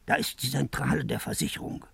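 An actor playing a frail, pleading old man in a 1950s radio play, halting, wistful and coaxing. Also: mid-range voice, vocal fry, slightly rough, dry recording, heavily acted. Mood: hesitant, wistful. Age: older.

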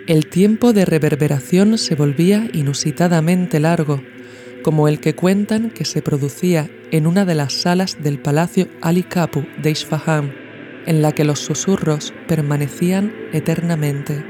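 Mellow, higher-pitched male narrator from a podcast. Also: moderate pace. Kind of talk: scripted narration, literary audio essay. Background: music.

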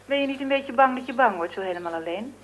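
A woman's voice: a little high, animated, fast, fairly bright tone, low-quality recording. A woman hosting a broadcast, asking questions in a conversational way. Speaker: interviewer, broadcast host. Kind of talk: conversational interviewing.

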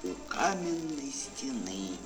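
A man's voice: mid-range voice, very animated, slow pace. A man narrating a children's fairy tale in a theatrical, declamatory storytelling style. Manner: dramatic, declamatory. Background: prominent music.

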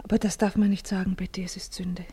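Tired gentle wife, husky breathy voice, kinda fearful, hushed, vintage german radio play. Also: female voice, medium pitch, level tone, fast. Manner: soft, motherly, often hushed.

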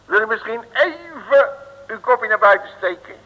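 An actor playing a nervous, blustering, folksy detective in a comic radio play from around 1960, grumbling in excitable colloquial speech. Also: male voice, very high voice, normal speed. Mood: cheeky, bluffing. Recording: old muffled radio recording with long reverb.

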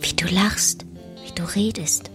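An actress playing an enraptured, flirting lover, breathy and intimate, in a radio drama. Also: female voice, fairly low pitch, unhurried, whispered, over music, lightly acted. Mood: adoring, lustful.

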